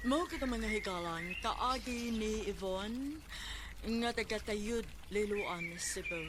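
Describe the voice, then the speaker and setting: A woman's voice: medium pitch, takes it slowly. A woman with a Cebuano Filipino accent presenting a scripted radio drama programme as its host. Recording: noisy radio broadcast recording.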